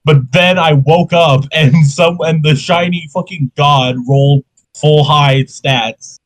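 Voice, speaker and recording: A man's voice: fairly high pitch, fairly even intonation, takes it slowly, poor audio. A young man who is sick and coughing, hosting a casual podcast chat in a rambling, profane way. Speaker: podcast host.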